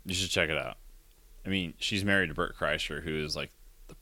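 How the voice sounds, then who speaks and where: A man in his thirties with a deep voice, hosting a casual podcast chat in a rambling, chatty way. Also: brisk, bright, forceful tone, no echo. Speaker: podcast host.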